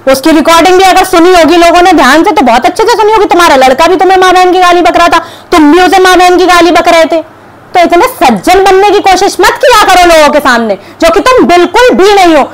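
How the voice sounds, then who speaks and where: A woman's voice: very high voice, animated, fairly quick. A young woman vlogger giving combative reaction commentary to camera, scolding and sarcastic. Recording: clear background noise.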